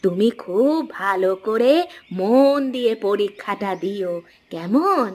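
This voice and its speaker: Woman voicing a slow-talking, gentle old mother. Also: mid-range voice, lively intonation. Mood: tender. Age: older. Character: kindly, humble elderly mother selling eggplants at market. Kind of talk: Bengali cartoon voice acting.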